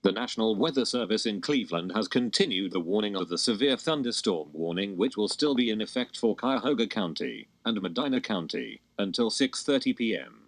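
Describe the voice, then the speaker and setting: A man's voice: fairly low pitch, lively intonation, a little fast, few pauses, no room sound. A man reading scripted emergency broadcast warnings as an announcer, formal and impersonal.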